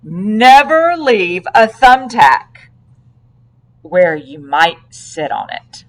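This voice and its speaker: An earnest policeman from a children's storybook, acted by a woman. He speaks slowly and announces each safety tip with deliberate emphasis. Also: medium pitch, very animated. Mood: earnest, instructive. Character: earnest male police officer giving safety tips.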